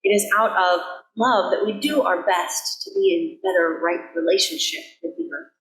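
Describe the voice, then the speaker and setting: A woman minister reading a sermon in an earnest, measured way, with deliberate pauses between phrases. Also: lowish voice, fairly bright tone. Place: church sanctuary, indoors. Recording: roomy echo of a sanctuary.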